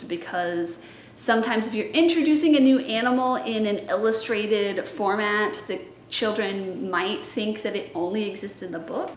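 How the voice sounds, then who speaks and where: A woman's voice: medium pitch, a little hiss or hum. A young woman in her twenties, a library science student, chatting in an upbeat, teacherly way as she plans a children's story time. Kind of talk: casual teaching vlog. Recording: echoey room.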